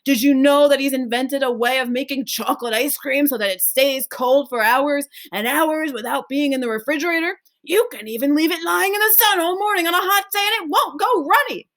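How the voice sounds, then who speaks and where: A woman reading a children's story aloud, voicing a fond, excitable, very old grandfather who exclaims and savors his superlatives. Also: high voice, fairly quick, hardly any pauses, voice pushed up. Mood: eager, marvelling.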